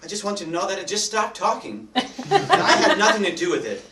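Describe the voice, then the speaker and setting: A man's voice: sits high, animated, fairly quick. A man playing an eager, mischievous young boy reading out a Christmas letter in a staged readers theatre. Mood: eager, defensive. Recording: echoey room, distant mic, faint noise.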